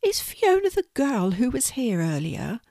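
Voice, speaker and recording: A woman voicing a tiny, timid male spider in a children's storybook, gentle and sad, with a plaintive, tentative delivery. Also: a little high, wildly swooping pitch, measured pace, dry recording, clean studio sound, pitched above the performer's own voice, exaggerated voice. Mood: worried.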